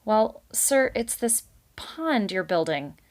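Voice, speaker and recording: Old, timid male storybook animal female reader, well-mannered and plaintive, halting delivery. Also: medium pitch, very animated, voice pushed up. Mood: hesitant. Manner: hesitant, plaintive, pleading, courteous. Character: polite, anxious old male animal with gray whiskers.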